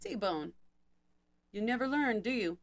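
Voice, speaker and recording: A woman's voice: fairly high pitch, animated, slow, slight background noise. A woman playing a contemptuous, menacing supervillain in an audio drama, taunting and condescending. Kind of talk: scripted audio drama acting.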